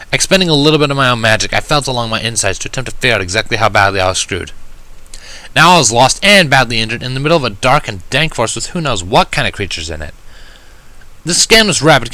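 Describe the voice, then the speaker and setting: Bright-voiced man narrating a story. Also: mid-range voice, big pitch swings, fast talker, no echo, rough recording. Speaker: fan fiction reader. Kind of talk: first-person story narration, read aloud.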